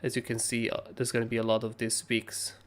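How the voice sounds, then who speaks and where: A young man with a hoarse, raspy voice, casually talking through trading charts as a livestream host, rambling and swearing freely. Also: mid-range voice, completely flat delivery, a little fast. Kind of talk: casual live chart analysis.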